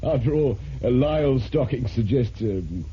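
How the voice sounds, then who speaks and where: An actor playing an urbane, wry crime reporter in a vintage radio drama. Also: male voice, medium pitch, lively intonation, a little slow, mellow, slight background noise, natural voice. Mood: wry, chatty. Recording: muffled vintage radio recording.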